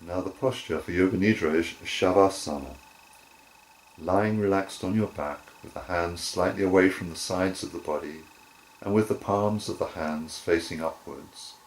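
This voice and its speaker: A man teaching guided relaxation in measured, deliberate phrases with short pauses. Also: low voice, poor audio. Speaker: yoga nidra teacher.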